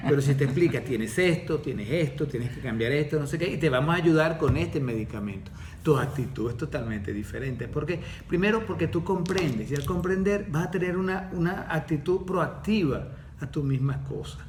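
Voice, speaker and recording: A man's voice: fairly high pitch, expressive, moderate pace, darkish tone, slight background noise. A man, a course facilitator explaining things in a podcast conversation, often checking in with "ok?". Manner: didactic, repeats himself, checks in with "¿ok?". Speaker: diploma course facilitator teaching the nervous system.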